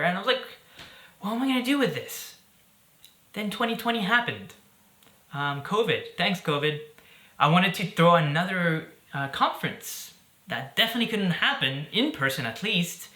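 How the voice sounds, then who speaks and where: A man's voice: on the high side, bright, forceful tone, reverberant. A man from Belgium in his thirties, hosting a casual talk to camera in an upbeat, chatty way. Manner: upbeat and chatty, with fillers and jokes. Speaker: host.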